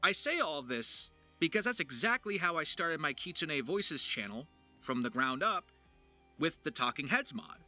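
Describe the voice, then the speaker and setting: A man narrating an explanatory vlog as a creator and voice actor, with emphatic, deliberate phrasing and dramatic stresses. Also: fairly high pitch, sing-song, bright, forceful tone. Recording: music behind the voice. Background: music.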